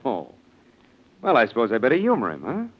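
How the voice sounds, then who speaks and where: An actor playing a defiant, suspicious, sardonic son of a rich family in a 1940s radio mystery. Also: male voice, mid-range voice, huge pitch swings, brisk, husky. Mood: wary, sardonic. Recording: muffled vintage radio recording.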